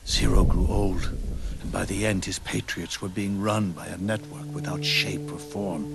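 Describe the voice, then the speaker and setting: Very deep, gravelly old soldier. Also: male voice, steady tone. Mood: grave, reflective. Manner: grave, deliberate, dramatic pauses. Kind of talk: dramatic video game cutscene monologue. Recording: loud music under the voice. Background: loud music.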